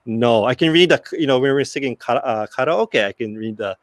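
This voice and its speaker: A man's voice: medium pitch, lively intonation, fairly quick, dry recording, studio quality. A young adult man born in Okinawa with Japanese as his first language, co-hosting a live talk show and chatting in a rambling, self-deprecating way with lots of fillers and restarts. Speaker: co-host. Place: live broadcast studio.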